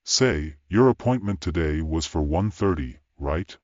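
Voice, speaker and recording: A man playing a powerful, cool and gentle bodyguard in his forties in an audiobook drama, speaking tersely and calmly. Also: low voice, unhurried, no echo, muffled recording. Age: 40s. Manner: terse, calm, understated. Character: powerfully built forty-year-old bodyguard, calm, cool, gentle.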